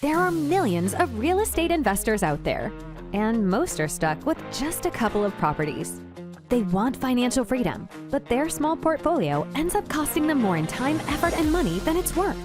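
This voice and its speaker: A woman's voice: sits high, very animated, moderate pace. A woman reading a scripted show intro in a polished, emphatic announcer style. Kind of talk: scripted promo narration. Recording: voice over loud music bed.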